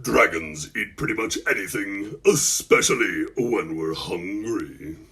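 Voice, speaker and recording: A man voicing a gigantic, hungry dragon in a storybook, with a deep, slow, menacing delivery. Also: animated, pushed and bright, slightly rough, full character voice.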